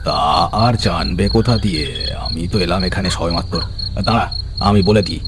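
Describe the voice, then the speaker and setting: A man's voice: low voice, moderate pace, very noisy, lightly acted. An actor playing a talkative, well-meaning older village man who admonishes and exclaims, in an audio story. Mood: helpful, calling out.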